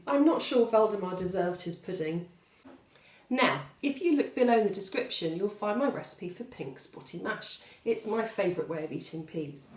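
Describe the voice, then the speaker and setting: Female children's storyteller: highly varied, expressive intonation, addresses young listeners, roomy recording. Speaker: storyteller and host. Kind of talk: children's bedtime story reading.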